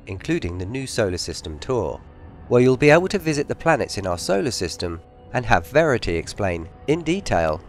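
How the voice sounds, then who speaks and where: A man reading a scripted promotional narration as an announcer. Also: medium pitch. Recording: music under the voice. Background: music.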